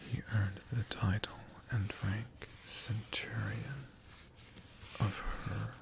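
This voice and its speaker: A man telling a story in a hushed ASMR whisper, in short halting phrases with long pauses between them. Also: lowish voice, fairly even intonation, gravelly, background noise. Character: friendly storytelling dragon.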